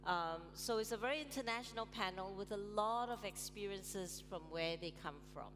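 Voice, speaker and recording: A woman's voice: mid-range voice, moderate pace. A woman moderating a conference panel on stage, chatty, with frequent ums and light laughs. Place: large conference hall on stage. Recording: reverberant hall, music playing.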